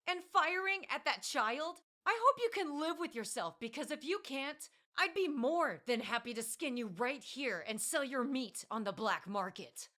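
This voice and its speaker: A woman's voice: on the low side, normal speed, hardly any pauses, lightly acted. A woman voicing a furious young female elf assassin who screams with her voice cracking.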